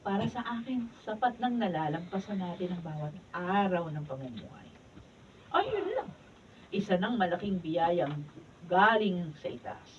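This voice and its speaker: Practical labourer's wife, played by a woman, Filipino, unruffled and brisk, animated delivery, heard at a distance. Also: fairly low pitch, lo-fi sound. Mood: chiding, resigned. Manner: brisk, down-to-earth, gently chiding. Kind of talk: Filipino radio drama acting. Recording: noisy, distant camera-mic studio recording.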